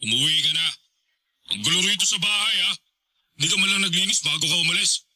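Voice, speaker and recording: An actor playing a hungover, bossy boyfriend who gives curt orders over the phone in a radio drama. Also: male voice, high voice, quick pace, bright, forceful tone.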